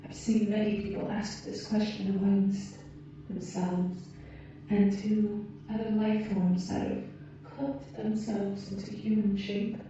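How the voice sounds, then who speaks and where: A woman playing a gentle, wise alien rescuer in an ASMR roleplay, speaking softly and earnestly in measured, slightly formal phrases. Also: mid-range voice, little pitch movement, lightly acted. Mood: solemn, patient. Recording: muffled, very echoey, distant, faint background noise.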